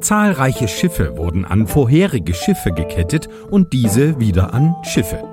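A man's voice: mid-range voice, huge pitch swings, unhurried. Man as a swooping theatrical pirate narrator over music. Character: theatrical growling pirate announcing a harbour. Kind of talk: dramatic scripted pirate narration. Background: loud music.

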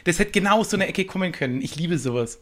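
High-voiced man in casual conversation. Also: animated, rapid-fire. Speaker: podcast co-host and improv performer. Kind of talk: casual chat, acting out little quotes.

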